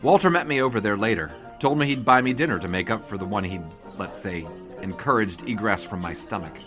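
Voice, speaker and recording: A man's voice: on the low side, lively intonation, a little fast, over music, close to an everyday voice. Man as a wry, wordy 1920s sportswriter. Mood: weary, wry. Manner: dry, wry, formal wordy phrasing. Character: wry, wordy 1920s newspaper sportswriter, queasy reluctant flyer. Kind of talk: first-person narration and dialogue in period audio drama.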